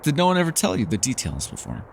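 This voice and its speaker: A man voicing a reclusive, centuries-old elf in a fantasy ASMR roleplay, gentle, wry and secretive, with measured formal phrasing. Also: fairly high pitch, wildly swooping pitch, vocal fry, slight background noise, heavily acted. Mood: grave, confiding. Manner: measured, formal phrasing, hesitant and softly teasing. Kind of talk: fantasy ASMR roleplay acting, intimate one-sided dialogue.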